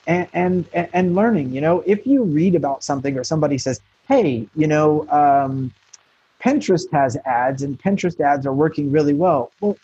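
A frank American man with a slightly high, husky voice talks through advice in loose, rambling sentences. He often restarts, and the audio is dry and a bit dull, like a remote interview line. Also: dark tone, no room sound. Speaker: guest, marketing consultant. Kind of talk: casual interview talk.

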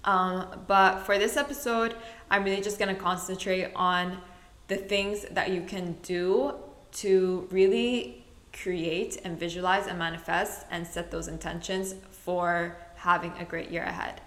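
Chatty woman podcaster, low voice, roomy sound. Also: fairly even intonation, a little slow, a bit bright. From Middle East. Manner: chatty, rambling, self-correcting. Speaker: podcast host. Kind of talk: casual solo podcast chat. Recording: roomy echo.

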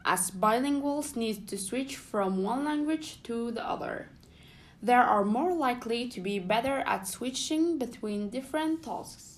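A young woman from Somalia co-hosting a student podcast, reading explanations with short pauses. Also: a little high, lively intonation. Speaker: podcast co-host. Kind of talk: student podcast, partly read.

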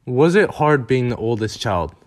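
A man's voice: mid-range voice, expressive, normal speed. A man interviewing someone, asking brief questions with hesitant "um" and "uh" fillers.

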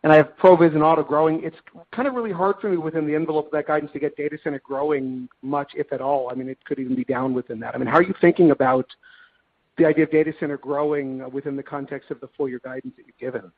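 A man's voice: a little high, steady tone, quick pace. A man speaking as a financial analyst, putting detailed, probing questions on an earnings call and persistently rephrasing them. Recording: tinny, narrow phone line.

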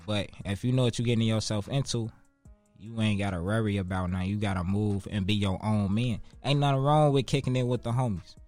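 A man's voice: on the low side, fairly even intonation, a little fast, a little mellow, no echo, soft background music. A man in his twenties with a Chicago accent, talking casually as an interview guest in street slang, with profanity and emphatic repetition. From Chicago, United States. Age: 20s.

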